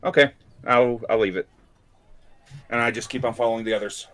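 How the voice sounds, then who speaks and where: Casting: male gamer, medium pitch, casual and deliberate as he talks through his moves. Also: steady tone, a bit bright. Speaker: tabletop game player. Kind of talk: casual in-game chat.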